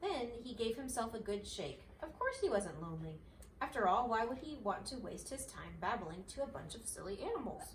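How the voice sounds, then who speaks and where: A woman's voice: on the high side, very animated, fairly quick. A woman reading a children's story aloud as a mother would, in a home-style recording. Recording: echoey, slightly distant, faint noise.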